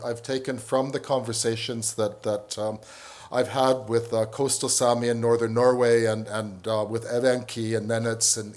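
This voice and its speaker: Hesitant Canadian-raised male professor. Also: medium pitch. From northern Canada. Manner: hesitant, many ums and restarts. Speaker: professor and panel speaker. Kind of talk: conference talk.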